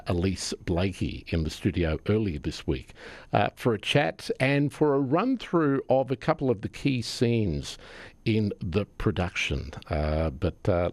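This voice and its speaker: A middle-aged Australian man presenting and interviewing in a conversational radio style, with hesitant, halting phrasing. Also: sits low, darkish tone, no room sound. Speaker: radio host interviewing guests. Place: radio studio.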